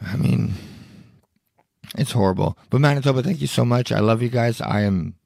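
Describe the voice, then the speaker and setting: A man's voice: medium pitch, normal speed, gravelly, a little mellow, no echo, clean studio sound. A man hosting a solo podcast who is losing his voice, reading news aloud and commenting on it in a blunt, indignant, profane way. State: hoarse, losing his voice with a cough. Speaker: podcast host.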